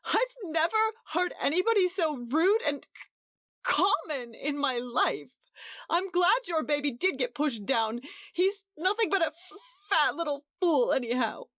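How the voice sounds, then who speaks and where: A woman's voice: very high-pitched, big pitch swings, takes it slowly, fairly bright tone, dry recording, clean studio sound, voice pushed up, full character voice. A woman voicing a distraught young wife who sobs and stammers as she protests. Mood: sobbing. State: crying.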